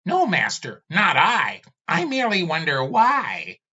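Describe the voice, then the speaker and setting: A timid talking skull, cowering and deferential to its master, as a man puts it on. The voice sits high above the reader's usual tone and sounds faintly breathy. The recording is dull and lo-fi. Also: wildly swooping pitch, measured pace, bright, forceful tone, full character voice. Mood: meek. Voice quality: meek, deferential.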